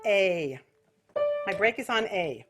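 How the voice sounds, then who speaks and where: A woman's voice: medium pitch, expressive, takes it slowly, loud music behind the voice. A woman giving an informal singing lesson as a vocal technique teacher, explaining things with vivid imagery.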